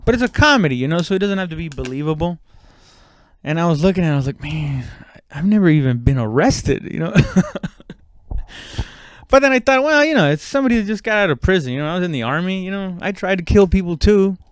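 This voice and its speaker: A man's voice: high voice, sing-song, fast talker, clear, resonant tone, no room sound, clean studio sound. A man giving a casual, rambling comedic monologue, self-deprecating and swearing freely. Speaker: comedian and podcast host.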